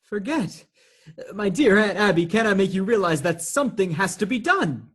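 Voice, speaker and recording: Frantic nephew, male actor, radio stage comedy; rough-edged voice, flustered, exclamatory, highly animated. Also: normal speed, exaggerated voice. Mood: frantic alarm. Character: frantic, appalled nephew of two elderly aunts.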